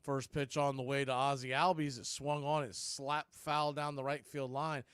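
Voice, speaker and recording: A man calling live baseball play-by-play, as the show's announcer, in a steady, measured delivery that tracks each pitch. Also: smooth, dry recording, clean studio sound.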